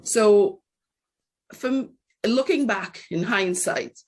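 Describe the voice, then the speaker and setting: A woman's voice: mid-range voice, very slow. A woman in her forties from Trinidad and Tobago, an HR executive giving a reflective personal talk at a launch event. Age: 40s. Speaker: guest speaker, HR executive.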